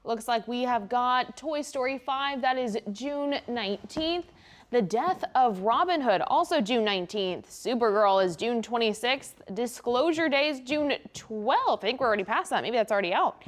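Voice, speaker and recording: A woman's voice: a little high, expressive, moderate pace. A woman with an American accent chatting casually and informally as a TV news show co-host. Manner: chatty, informal, frequent "like" and "oh my gosh". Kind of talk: casual unscripted studio chat.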